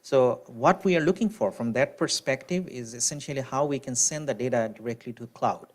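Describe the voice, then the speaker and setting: A man on a panel explaining technical concepts, often pausing with "you know" and restarting his sentences. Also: mid-range voice.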